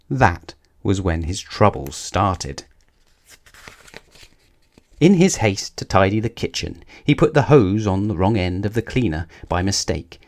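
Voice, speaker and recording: Male storybook narrator, fairly low register, scripted read-aloud for children. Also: darkish tone.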